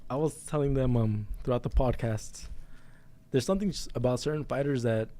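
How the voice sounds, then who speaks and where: A man hosting a podcast interview, asking questions in a halting way with frequent restarts, while losing his voice from getting sick. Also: mid-range voice, crowd noise. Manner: halting, restarts often, many soft backchannel hums. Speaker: podcast host. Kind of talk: casual interview chat.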